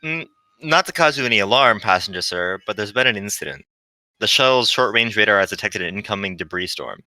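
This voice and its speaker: A man's voice: lowish voice, expressive, brisk, bright, forceful tone, dry recording, full character voice, rough recording. A man voicing a polite, chatty, unflappable AI shuttle navigator in a visual novel, with courteous, understated delivery. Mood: nervously reassuring. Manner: courteous, formal, soothingly understated. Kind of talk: visual novel character reading.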